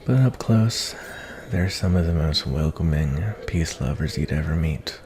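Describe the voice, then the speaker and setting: A man playing a gentle, reflective cowboy bounty hunter in a softly spoken fantasy ASMR roleplay, talking in a folksy, kindly, rambling way. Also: animated, unhurried, a crowd in the background. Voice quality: low, gravelly.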